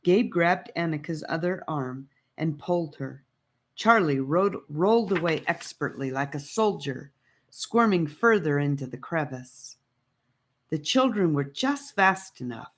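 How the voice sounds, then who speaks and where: Woman reading a fantasy audiobook, pretty low voice, dramatic storytelling. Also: fairly bright tone. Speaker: audiobook narrator.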